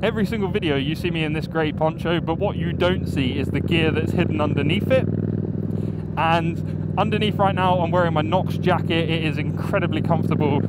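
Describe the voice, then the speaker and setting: A young man talking casually about motorcycle gear from his helmet while riding on country roads. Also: a little high. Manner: relaxed, chatty, recommends gear with many fillers. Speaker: motovlogger. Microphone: helmet mic under a full-face helmet. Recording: loud wind and engine noise. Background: motorcycle engine and road wind.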